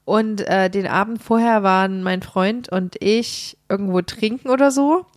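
A woman's voice: medium pitch, normal speed, dry recording, clean studio sound. A young woman co-hosting a podcast with a slight German regional dialect, telling a story about herself in a giggly, self-mocking way. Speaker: podcast co-host. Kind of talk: casual chat.

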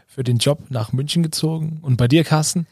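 A man from Leipzig co-hosting a career podcast, guiding the talk with clear questions. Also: a little high, fairly quick, a little mellow, dry recording, studio quality. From Leipzig, Germany. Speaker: podcast co-host.

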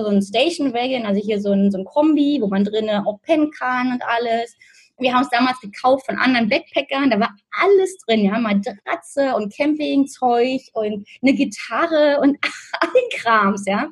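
Husky-voiced woman from Berlin. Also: on the high side, normal speed. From Berlin, Germany. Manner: chatty, laughs often, many fillers and restarts. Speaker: interview guest. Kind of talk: casual conversational storytelling. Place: in Australia.

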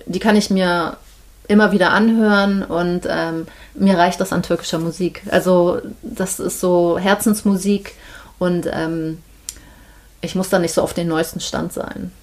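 A woman from Hamburg, a midwife, talking in a relaxed interview and pausing often to gather her thoughts. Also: fairly low pitch. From Germany, Hamburg. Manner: thoughtful, many hesitations. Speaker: midwife, podcast guest. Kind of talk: casual interview chat.

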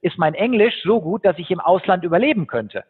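Fast-talking man on a tinny line. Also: high voice, slightly rough. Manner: fast, animated storytelling with fillers. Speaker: guest, keynote speaker. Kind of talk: conversational interview. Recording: tinny phone-like line with long reverb.

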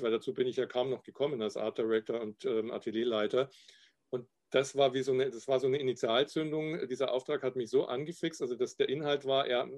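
A man in his fifties from Upper Bavaria with a light Bavarian accent, a guest telling his story in a chatty interview, pausing often with fillers. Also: mid-range voice, a little mellow. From Upper Bavaria, Germany. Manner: chatty, hesitant with many fillers. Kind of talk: casual interview.

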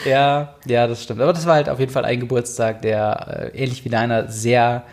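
A man's voice: medium pitch, brisk. Rambling young man, casual podcast chat. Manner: relaxed, rambling, lots of fillers. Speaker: podcast co-host.

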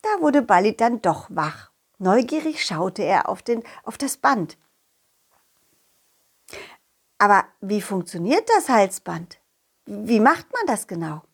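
A woman's voice: medium pitch, very animated, a little slow, slightly rough, voice pushed down, heavily acted. A woman voicing Kollin, a confident, loyal talking dog, narrating a children's audio play in a simple, child-directed way. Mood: friendly, eager. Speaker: storyteller and main character of a children's audio story.

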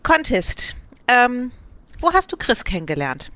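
Bright-voiced woman interviewer, informal and curious. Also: a little high, big pitch swings, a little slow. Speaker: interviewer, host. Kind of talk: casual conversational interview.

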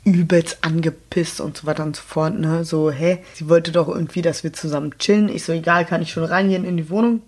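A young woman from Berlin tells a personal story in a relaxed, slangy way. She acts out the lines of the people in it as she goes, as in an easygoing podcast chat. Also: sits low, a little fast, few pauses. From Berlin, Germany. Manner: colloquial, slangy, acts out dialogue. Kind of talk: casual storytelling.

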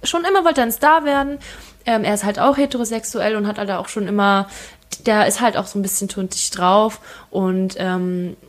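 A woman's voice: medium pitch, moderate pace. Chatty woman from Thailand. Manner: frank, chatty storytelling with fillers. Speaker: guest. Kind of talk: casual conversation. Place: home kitchen.

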